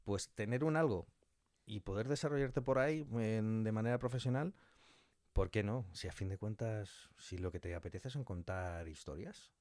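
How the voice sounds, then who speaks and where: A man's voice: on the low side, normal speed, darkish tone, dry recording, studio quality. A middle-aged man with an accent from Spain, answering reflectively in a conversational interview, thinking aloud through examples. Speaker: interviewed audio fiction writer and director.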